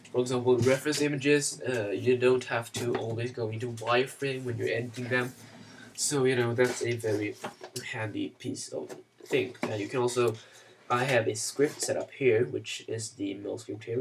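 A man who grew up speaking Swedish, walking through software steps in a loose, self-mocking way with plenty of fillers. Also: mid-range voice, steady tone, moderate pace, distant mic, background noise. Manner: rambling, self-mocking asides, many "uh" and "you know". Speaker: software tutorial maker. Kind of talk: casual screen-recorded software tutorial.

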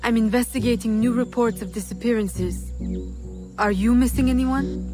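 A woman's voice: fairly high pitch, moderate pace, music in the background, close to an everyday voice. An actress playing a commanding young female vigilante of the colonial era in a video game, composed, formal and firm. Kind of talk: scripted video game acting.

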